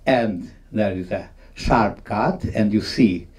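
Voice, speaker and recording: Slow, distant man giving a talk. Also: fairly low pitch, a little mellow. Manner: halting, emphatic, non-native English phrasing. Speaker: conference speaker on film history. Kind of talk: unscripted talk retelling film scenes.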